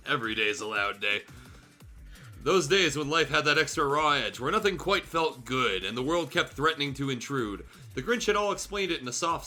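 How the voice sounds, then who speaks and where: Animated, bright-voiced man reading aloud. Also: mid-range voice. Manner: irreverent, sweary. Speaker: show host reading aloud. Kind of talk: comedic fan fiction reading with casual asides.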